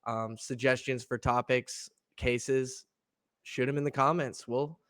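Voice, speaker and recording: A man's voice: mid-range voice, steady tone, measured pace, a bit bright, no room sound, clean studio sound. Congested young man hosting a podcast. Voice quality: slightly gravelly, nasal. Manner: easygoing, laughs often, many fillers and restarts. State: congested from allergies. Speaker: podcast co-host. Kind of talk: casual chat.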